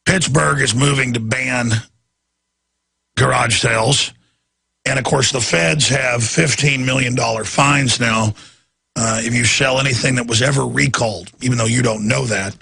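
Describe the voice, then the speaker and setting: A hoarse, raspy man hosting a radio talk show, straining his voice as he rants in indignant political commentary from a studio. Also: medium pitch, fairly even intonation, normal speed, bright, forceful tone, dry recording. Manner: ranting, indignant.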